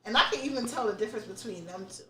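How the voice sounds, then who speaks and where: A woman's voice: medium pitch, rapid-fire, fairly bright tone, echoey room, distant mic, a little hiss or hum. A young woman hosting a podcast in casual chat, candid and swearing freely as she thinks aloud. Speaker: podcast host.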